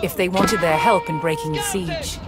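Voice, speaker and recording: A woman narrates in a slightly low register. Her delivery is measured and formal, in the manner of a scripted historical documentary for a game campaign. Music plays clearly behind her voice.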